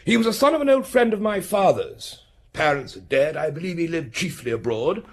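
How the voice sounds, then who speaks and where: Husky upper-class English duke, bluff and indignant. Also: male voice, fairly high pitch, lively intonation. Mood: stiff, hesitant. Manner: halting, clipped old-fashioned upper-class phrasing. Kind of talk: British radio drama acting, giving testimony.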